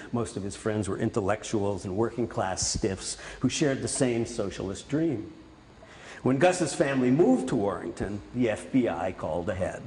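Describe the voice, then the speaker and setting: A man's voice: mid-range voice, a little mellow. A man reading his own literary prose aloud as an author at a public reading. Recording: echoey hall, distant, faint noise.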